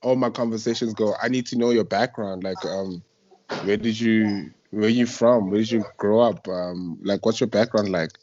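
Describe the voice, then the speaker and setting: A man's voice: fairly low pitch, normal speed, a little mellow. A young South African man hosting a casual radio interview, speaking in an informal, slangy way. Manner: informal, slangy, effusive reactions. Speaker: radio show host. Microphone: internet voice call. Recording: muffled lo-fi internet call.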